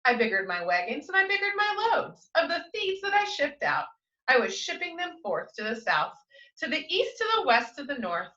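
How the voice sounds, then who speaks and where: Female reader playing greedy storybook factory boss, stubborn and self-justifying, forceful singsong rhymes, echoey room. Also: high voice, very animated, normal speed, voice pushed up, exaggerated voice. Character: greedy, stubborn storybook industrialist recounting his misdeeds. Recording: roomy, slightly dull, distant microphone.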